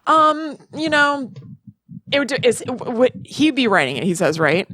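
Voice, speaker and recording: A woman's voice: fairly high pitch, sing-song, a little slow, pushed and bright, breathy edge, no echo, studio quality. A woman co-hosting a podcast, chatting informally and expressively as she thinks aloud. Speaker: podcast co-host. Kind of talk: casual chat.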